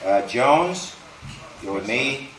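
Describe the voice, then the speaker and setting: Gruff American drill instructor, echoey recording. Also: male voice, medium pitch, animated, slow, a bit bright. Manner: commanding, gruff, informal and salty. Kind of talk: roll call and team assignments. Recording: echoey space with faint background noise.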